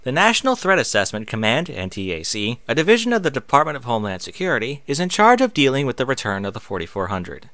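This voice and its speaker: Male casual reader, bright forward voice, very varied intonation, occasional stumbles. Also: medium pitch, sing-song, fast, hardly any pauses, no echo. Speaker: online reader and streamer. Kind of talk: reading aloud with casual off-script asides.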